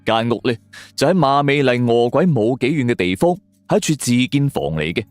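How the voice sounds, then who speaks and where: A man's voice: mid-range voice, lively intonation, a little fast, no echo, soft background music. A man narrating a suspense story in a colloquial, dramatic storyteller style. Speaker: audiobook narrator.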